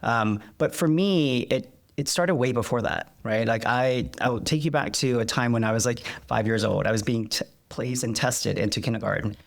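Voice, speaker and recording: A man's voice: mid-range voice, normal speed, creaky, hardly any pauses. A man whose was Vietnamese, a guest in a conversational interview, speaking reflectively with many fillers and restarts. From United States.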